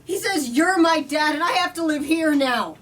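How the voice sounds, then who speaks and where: Distraught eighth-grade boy performed by a female reader, raised forceful voice, pitched up, off-mic storybook reading. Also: moderate pace. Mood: upset, demanding. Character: upset, intense eighth-grade boy thrown out of home. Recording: distant mic, noisy room ambience.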